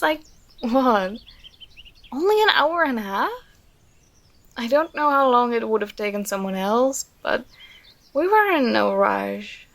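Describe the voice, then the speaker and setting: A woman playing an affectionate, contented girlfriend in an ASMR roleplay, speaking in a tender, soothing, intimate and unhurried manner. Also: mid-range voice, gravelly, dry recording. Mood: admiring, pleased.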